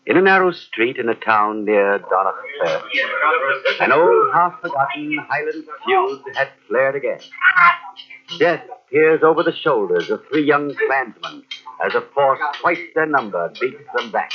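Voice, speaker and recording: An ominous storyteller, performed by a man narrates a 1940s radio drama with grave weight. The pace is measured, the pitch moves dramatically, and the old recording sounds dull. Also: expressive, crowd noise, lightly acted. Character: ominous narrator of a tale of death.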